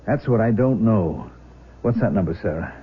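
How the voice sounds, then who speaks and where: A man's voice: fairly low pitch, lively intonation, moderate pace, slightly rough. An actor playing a protective, reasoning middle-aged father in a radio drama, earnest and firm. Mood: regretful, worried. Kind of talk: 1970s radio drama acting. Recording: dull, noisy 1970s radio recording.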